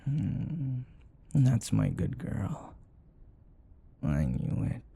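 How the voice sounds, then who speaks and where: Male actor as wealthy mature boyfriend for romantic roleplay: husky, mellow, softly coaxing, obsessively devoted. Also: mid-range voice, very animated, extremely slow, full character voice. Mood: apologetic, tender. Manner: soft, hesitant, coaxing murmur with sighs. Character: rich older possessive boyfriend, sweetly doting, obsessive, apologetic. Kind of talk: intimate romantic audio roleplay.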